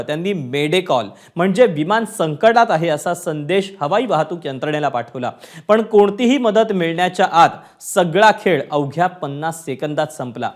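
Slightly high-voiced male news anchor. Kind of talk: scripted news presentation.

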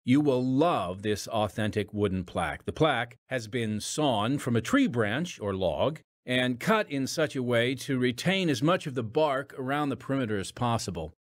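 Man announcing with lively, swinging intonation. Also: mid-range voice, normal speed, hardly any pauses, no room sound, studio quality. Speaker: radio drama podcast host and announcer. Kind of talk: scripted narration and announcements.

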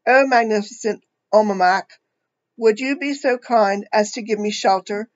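A woman reading the lines of a windblown snowy owl who asks for shelter with flattering courtesy. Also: mid-range voice, moderate pace, highs cut off, natural voice.

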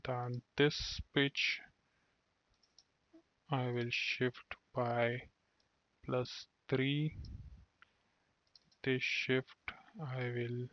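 Flat slow-talking man explaining software. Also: mid-range voice, dry recording. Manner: step-by-step explaining with restarts. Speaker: software tutorial instructor. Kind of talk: screen-recorded tutorial voice-over. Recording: dull dry lo-fi voice-over.

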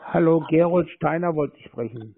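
Male telesales caller, slightly high and gravelly, on a tinny phone connection. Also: moderate pace. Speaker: telephone sales caller. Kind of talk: cold-call pitch. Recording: noisy narrowband phone line.

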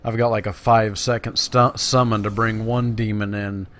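A man's voice: on the low side, little pitch movement, moderate pace, fairly bright tone. A man giving casual gameplay commentary in a self-deprecating, wry manner. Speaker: let's play host. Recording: clearly noisy, game sounds behind voice. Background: video game battle sounds.

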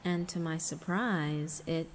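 A woman giving a dramatic first-person narration of a visual novel, theatrical and hushed, with deliberate pauses. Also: deep voice, steady tone, a little hiss or hum. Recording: long reverb, music underneath. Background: background music.

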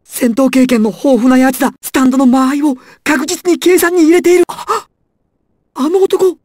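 A woman's voice: high voice, steady tone, normal speed, a little mellow, dry recording, studio quality, close to an everyday voice. A woman voicing a nervous, stammering young male gangster in anime style, servile yet volatile. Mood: anxious, alert. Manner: stammering, swings from timid to explosive. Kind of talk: anime and game dramatic acting.

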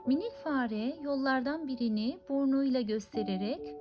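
A woman's voice: high-pitched, normal speed. A woman narrating a scripted fairy tale for children as a storyteller, with music behind her voice. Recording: music under the voice. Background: clearly audible music.

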